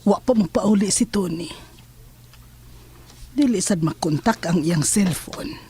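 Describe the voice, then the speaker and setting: A woman's voice: medium pitch, expressive, measured pace, warm tone, breathy edge, faint music behind. A woman playing a suspicious, anxious wife thinking aloud in an audio drama. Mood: suspicious, wary. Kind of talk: Filipino audio drama monologue.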